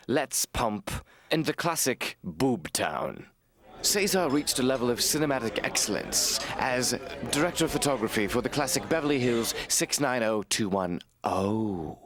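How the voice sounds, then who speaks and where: A man reading scripted mock-documentary narration in a deliberate, mock-serious manner. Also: medium pitch. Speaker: documentary narrator, voice-over artist.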